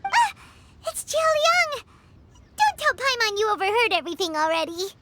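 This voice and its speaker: A woman's voice: very high voice, lively intonation, moderate pace, heavy background noise. A woman voicing a tiny, curious, childlike companion creature in a video game, chatty and inquisitive. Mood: startled.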